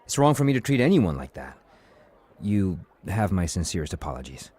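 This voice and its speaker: A man acting in an audio comedy drama as an earnest, smooth-talking office colleague with formal phrasing. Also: low-pitched, very animated, a little fast, darkish tone, exaggerated voice. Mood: contrite.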